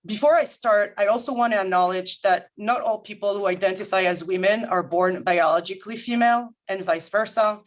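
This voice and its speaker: A woman from Paris with a French accent, a guest consultant presenting formally and in a measured, articulate way to an audience. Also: mid-range voice. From France, grew up in Paris. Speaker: guest speaker, management consultant. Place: remote video-call talk.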